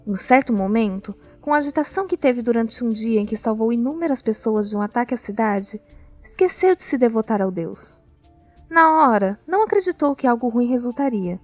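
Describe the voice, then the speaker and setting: A woman narrating a scripted audio drama as a storyteller, with measured, deliberate phrasing. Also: on the high side, animated, a little mellow, no echo. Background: music.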